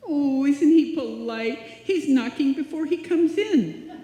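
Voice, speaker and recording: A woman plays a naive, dim-witted young roommate in a radio comedy. The voice is high and mellow, drawn out and earnest, heard in a reverberant live hall. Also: expressive, unhurried, a little hiss or hum. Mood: naive, puzzled. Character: sweet, dim-witted young female roommate, innocently literal. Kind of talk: live old-time radio comedy acting. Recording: echoey hall, live stage performance.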